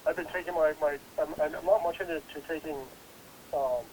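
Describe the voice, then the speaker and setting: A man's voice: fairly high pitch, fairly even intonation, fairly quick, a little mellow, a little hiss or hum, low-quality recording. A congested man recovering from a head cold, talking casually and rambling with many restarts. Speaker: guest investigator. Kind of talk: casual interview.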